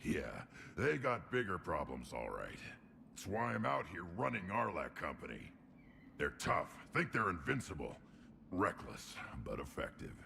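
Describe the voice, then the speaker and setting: A man voicing a brash, proud young alien warrior commander in a video game, gruff and growling in blunt, clipped phrases. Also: sits low, wildly swooping pitch, a little slow, bright, forceful tone, slight background noise, heavily acted. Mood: gruff, brisk. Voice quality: gravelly, growling.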